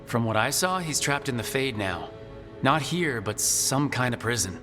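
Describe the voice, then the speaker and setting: Actor as grave mage hero in long reverb. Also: male voice, medium pitch, lively intonation, normal speed, natural voice. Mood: grave, explaining. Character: composed male mage hero, grave and resolute leader. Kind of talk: video game dialogue acting. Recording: long reverb, game music behind.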